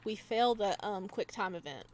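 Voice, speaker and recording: A woman doing a video game let's play, reading game text aloud with expressive drama and adding casual asides. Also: mid-range voice, moderate pace. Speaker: gaming video creator.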